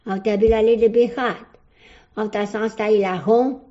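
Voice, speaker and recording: An older woman from Croatia, a native Croatian speaker with a Croatian accent, answering interview questions in halting broken English and often searching for words. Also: medium pitch, steady tone, dark tone. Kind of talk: oral history interview. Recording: dull lo-fi recording.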